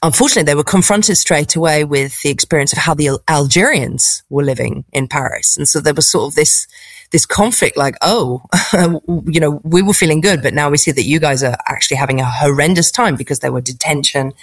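A woman's voice: sits low, fairly quick, slightly rough, no echo. A woman with a posh English accent, a stand-up comedian chatting in an interview with frequent laughs and restarts. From England. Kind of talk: casual interview.

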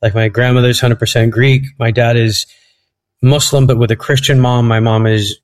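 A man's voice: medium pitch, steady tone, normal speed. Rambling, laughing man from Egypt. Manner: rambling storyteller, laughs at own stories. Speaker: comedian guest. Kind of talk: casual interview chat.

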